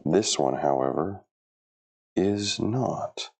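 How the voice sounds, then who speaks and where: A middle-aged man in a home gym talks his way through his workout in a casual vlog. His voice sits low and gravelly. He chats to viewers and mutters to himself, a little flustered as he gets set up. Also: animated, very slow, darkish tone. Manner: chatty, self-talking, flustered by equipment trouble. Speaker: fitness vlogger.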